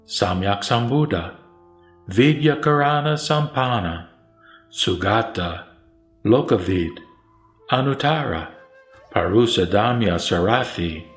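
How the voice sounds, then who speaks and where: A man giving formal, solemn scripted narration of scripture as a reciter, with careful enunciation. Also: mid-range voice. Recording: roomy echo, music bed underneath.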